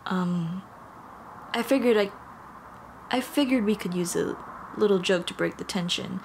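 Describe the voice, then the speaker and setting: A woman's voice: mid-range voice, measured pace, vocal fry, a little mellow, a little hiss or hum. A woman playing a nervous, apologetic young female dullahan in an intimate ASMR roleplay, speaking hesitantly with stammers and self-deprecation. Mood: ashamed, sad.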